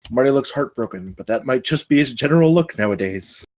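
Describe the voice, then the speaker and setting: A man's voice: mid-range voice, lively intonation, brisk, a little mellow. A man reading stage directions as the narrator of a screenplay table read.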